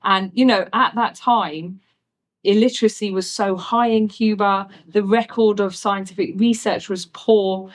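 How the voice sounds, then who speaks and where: A woman hosting an interview, laying out background before each measured question. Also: medium pitch, fairly even intonation. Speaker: interviewer, host.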